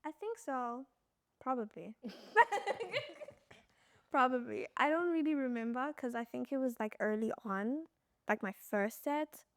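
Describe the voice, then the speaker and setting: A woman guest actor on a podcast, soft-spoken and hesitant, giggling between short answers. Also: fairly high pitch, measured pace, long pauses, smooth. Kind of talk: casual podcast chat.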